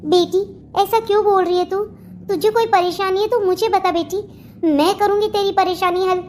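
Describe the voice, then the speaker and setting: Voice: very high voice, steady tone, moderate pace, slight background noise. A performer voicing a doting, worried elderly mother-in-law in a family cartoon, in a motherly, pleading manner. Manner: pleading, motherly, repetitive endearments. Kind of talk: Hindi family comedy cartoon dialogue.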